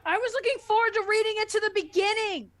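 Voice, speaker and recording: A woman's voice: very high-pitched, fast, a bit bright, no echo. A woman co-hosting a podcast in casual banter, blunt and ready to bicker. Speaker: podcast co-host.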